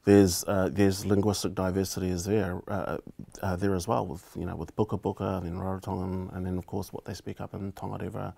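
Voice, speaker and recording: A man in his forties with a New Zealand accent, a political candidate talking thoughtfully in a relaxed studio interview and often restarting his phrases. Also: deep voice, brisk, creaky. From Cook Islands heritage, raised in New Zealand. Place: small indoor studio set.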